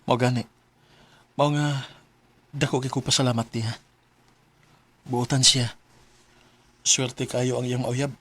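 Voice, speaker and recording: A man's voice: mid-range voice, little pitch movement, takes it slowly, long pauses, a bit bright, breathy edge. An actor playing a grieving, emotional young man in a radio drama. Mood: subdued, grateful. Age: young adult. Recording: music behind the voice.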